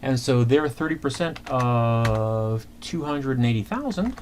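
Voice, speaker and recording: A man's voice: mid-range voice, lively intonation, a little mellow. Man teaching accounting over noisy typing. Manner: conversational, halting, talks through figures step by step. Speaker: accounting instructor. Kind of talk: informal screencast lesson, explaining worked calculations. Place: indoors at a computer. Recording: clear background noise, keyboard typing.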